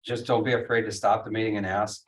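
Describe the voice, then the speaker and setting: Guy on a school board, casual and blunt, lowish voice, far from the mic. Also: little pitch movement, quick pace. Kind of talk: casual remarks at a board meeting.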